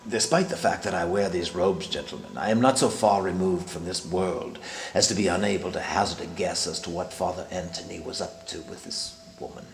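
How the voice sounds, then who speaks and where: Very deep, gravelly elderly cardinal, played by a man. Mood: guarded, solemn. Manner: formal, measured, hesitant, sanctimonious. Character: grave, weary elderly male cardinal, guarded and pious. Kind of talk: period detective drama acting. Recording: echoey room with faint noise.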